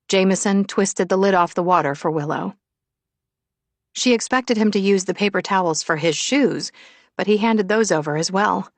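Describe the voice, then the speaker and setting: A woman narrates fiction as an audiobook reader. Her voice sits fairly low, and the sound is dry, with very little room on it. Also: no room sound.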